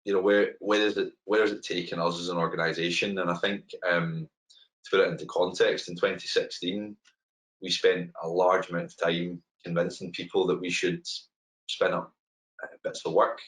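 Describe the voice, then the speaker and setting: Man, Glaswegian accent, very low voice, middle-aged, casual webinar talk, video-call webcam. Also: normal speed, rough recording. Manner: relaxed and conversational, frequent ums and repeated words. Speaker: head of open banking technology, webinar speaker. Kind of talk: webinar presentation. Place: home room, indoors.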